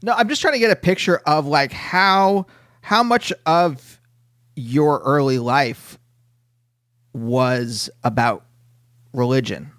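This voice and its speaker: A man's voice: on the high side, slow, long pauses, a bit bright, no echo, studio quality. A male podcast host asking probing questions, rephrasing them as he goes. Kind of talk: casual interview questions.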